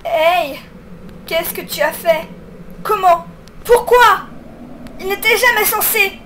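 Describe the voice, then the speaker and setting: A woman's voice: very high voice, slow, a bit bright, a little hiss or hum, heavily acted. A woman voicing a bitter, taunting demonic child spirit in a theatrical, intense comic dub. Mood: shocked, desperate. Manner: mocking, theatrical, emotionally intense. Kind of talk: amateur comic dub acting.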